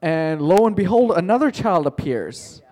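A man's voice: high voice, big pitch swings, normal speed, a little mellow, in a large hall. A male teacher telling a playful made-up story to his class, chatty and joking, calling on students by name.

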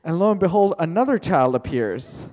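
A man's voice: on the high side, big pitch swings, moderate pace, a little mellow, in a large hall. A male teacher telling a playful made-up story to his class, chatty and joking, calling on students by name.

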